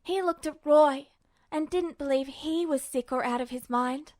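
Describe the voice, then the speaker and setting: A woman's voice: high-pitched, moderate pace, a little mellow, dry recording, studio quality. A woman narrating fiction in a dramatic, emotive style, like an audiobook reader. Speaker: podfic reader.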